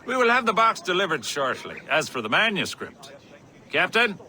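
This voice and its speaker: Pompous gentleman villain for a game, super bright forceful voice, very animated, faint music. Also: high-pitched, normal speed, exaggerated voice. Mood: courteous. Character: suave, menacing, haughty gentleman Templar.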